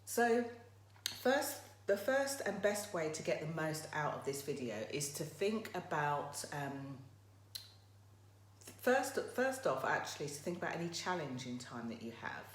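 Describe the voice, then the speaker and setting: A woman with a croaky voice hosting a casual live motivational talk, chatting directly to her viewers. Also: low-pitched, sing-song, measured pace. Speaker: motivational livestream host. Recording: roomy echo, faint background noise.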